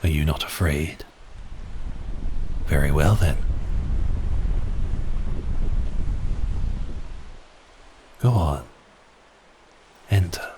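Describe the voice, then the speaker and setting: A man playing a giant cursed sea beast prince in an ASMR audio roleplay, speaking in grand archaic phrases with a teasing, theatrical manner and a breathy voice that dips into whispers. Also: a bass voice, a little hiss or hum. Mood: intrigued.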